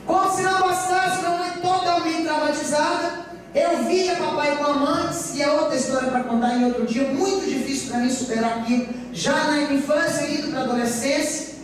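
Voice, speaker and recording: High-voiced Brazilian woman preaching in echoing hall. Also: moderate pace. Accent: Brazilian, from Goiás. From Goiás, Brazil. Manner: emphatic, repetitive oratory with rhetorical questions to the congregation. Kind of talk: sermon with personal testimony. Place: church during a live service. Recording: echoey church hall, distant mic, faint noise.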